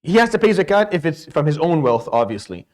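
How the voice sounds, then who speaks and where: A man from Syria teaches a class in a slightly high voice at a rapid clip. He is blunt and emphatic, acts out questions and answers, and drops Arabic terms into his English. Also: big pitch swings, a little mellow. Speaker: lecturer in Islamic law. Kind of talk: informal classroom lecture.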